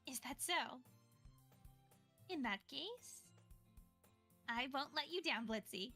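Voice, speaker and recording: Teenage club president, bright, animated, confident, cheerful, visual novel acting, music behind. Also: female voice, high voice, slow, full character voice. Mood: sweet, friendly.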